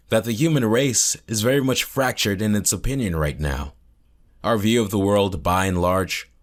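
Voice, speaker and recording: A man playing a grave, opinionated academic historian in an audio drama interview, measured and rhetorical. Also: fairly low pitch.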